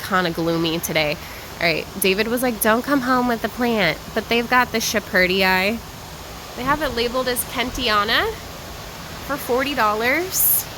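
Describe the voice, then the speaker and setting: A woman vlogging while she browses a garden center. She chats casually and rambles, naming plants as she goes, and sounds tired and a little raspy from lack of sleep. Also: medium pitch, background noise. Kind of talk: casual walk-and-talk vlog. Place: garden center shop floor.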